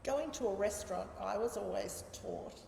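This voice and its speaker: A woman's voice: mid-range voice, normal speed, vocal fry, warm tone. A middle-aged woman with an Australian accent, chatting reflectively and giving her opinions as an interview guest. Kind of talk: casual conversational interview. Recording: echoey reverberant room with background noise.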